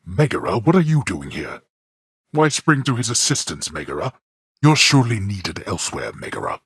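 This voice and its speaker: An actor playing a stern, ancient god-king of the underworld in a video game, a bitter father who speaks with formal, imperious, measured disdain in a deep, gravelly voice. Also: big pitch swings, a bit bright, no room sound, clean studio sound, heavily acted. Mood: reproving. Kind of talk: theatrical video game voice acting.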